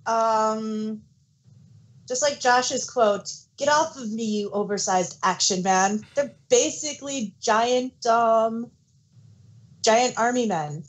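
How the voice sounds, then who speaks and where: A woman's voice: a little high, takes it slowly, poor audio. A woman co-hosting a podcast in casual chat, tossing in wry, playful asides. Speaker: podcast co-host. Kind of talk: casual chat, joking banter.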